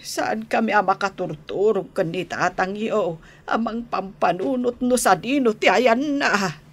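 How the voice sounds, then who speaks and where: Elderly mother, radio drama, Ilocano accent, very slow pace, mid pitch, plaintive and doting. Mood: worried. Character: worried, doting elderly mother of a wayward son.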